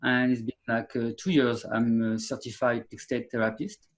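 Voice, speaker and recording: A man, a therapist co-hosting a video call, earnest and halting with fillers. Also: medium pitch, flat delivery. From France. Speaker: therapist, co-host. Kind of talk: casual self-introduction.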